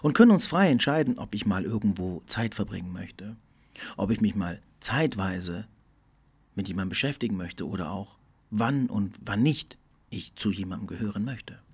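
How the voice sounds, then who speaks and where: A middle-aged man hosting a podcast, speaking in a thoughtful, earnest monologue that often slows and drops almost to a whisper. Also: lowish voice, expressive, darkish tone, breathy edge. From Germany. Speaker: podcast host. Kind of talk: reflective solo podcast monologue.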